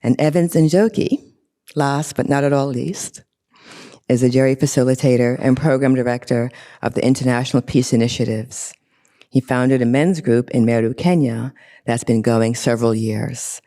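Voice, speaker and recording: A woman's voice: a bass voice, fairly even intonation, normal speed, mellow. A woman moderating a panel, introducing speakers graciously with light humor.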